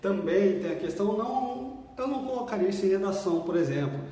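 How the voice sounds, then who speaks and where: A man's voice: high-pitched, animated, fast talker, mellow. A Brazilian history teacher giving an exam-prep video lesson in a casual, chatty way, often checking in with his students. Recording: echoey room, mic far off.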